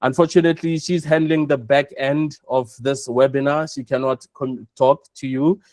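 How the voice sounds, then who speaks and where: A South African man presenting an online webinar, speaking in a measured way and repeating his points for emphasis. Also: medium pitch, steady tone, darkish tone, no room sound. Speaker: webinar host, operations chief.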